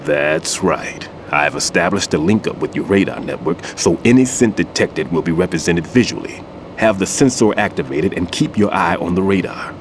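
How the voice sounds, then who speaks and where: An actor playing an older male bomb disposal expert, a patient mentor who explains things in a measured, instructive way in a game cutscene. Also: deep voice, slight background noise, natural voice. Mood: calm instructive. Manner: instructive, reflective. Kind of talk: video game cutscene dialogue. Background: music score.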